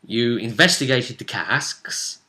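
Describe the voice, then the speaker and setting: A man's voice: mid-range voice, animated, slow, pushed and bright. A man speaking as game master, casually recapping a tabletop game session and often thinking aloud as he goes.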